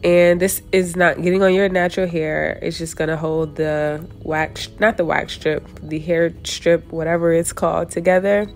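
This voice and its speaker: A woman's voice: fairly low pitch, fairly even intonation, normal speed, hardly any pauses, darkish tone. A young woman with a stuffy, congested voice, casually walking viewers through a hair tutorial while she works. She chats loosely and often stops to correct herself. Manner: chatty, talks while working, often restarts and corrects herself. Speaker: hair vlogger.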